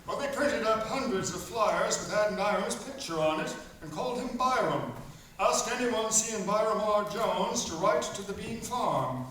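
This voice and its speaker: A genial storybook narrator, a man telling a farm tale in a radio play. His high voice rings from a distance in a very echoey hall. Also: lively intonation, normal speed, fairly bright tone. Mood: storytelling. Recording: echoey hall from far off, faint noise.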